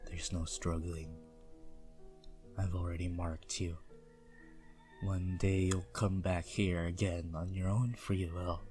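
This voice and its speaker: A man playing a possessive, seductive vampire prince in a teasing, intimate ASMR roleplay with quiet menace. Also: deep voice, level tone, slow, pauses often, soft background music. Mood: patient, menacing.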